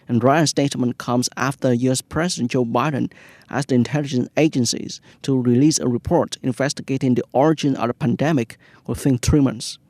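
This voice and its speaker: A man's voice: mid-range voice, a little mellow, no room sound. A man with a Vietnamese accent, a native Vietnamese speaker, presenting scripted news in English.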